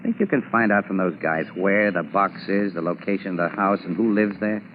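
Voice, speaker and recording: An actor playing a streetwise, steady crime photographer in a 1940s radio drama, with casual, wisecracking slang. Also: male voice, sits low, a little fast, close to an everyday voice. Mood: reassuring, thoughtful. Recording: muffled, noisy 1940s radio broadcast.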